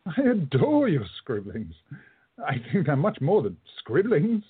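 A man playing an earnest, guarded gentleman in a radio play, speaking formally. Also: on the high side, huge pitch swings, unhurried, slightly rough, no room sound, full character voice. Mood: courteous. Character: Joe, earnest, guarded gentleman time traveller. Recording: dull lo-fi, music behind.

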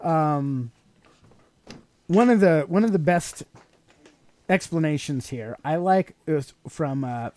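A man's voice: fairly high pitch, animated, slow pace, long pauses, darkish tone, no echo. A middle-aged man co-hosting a podcast, explaining things casually to a child in a teacherly way with leading questions. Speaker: podcast co-host and father explaining to his child.

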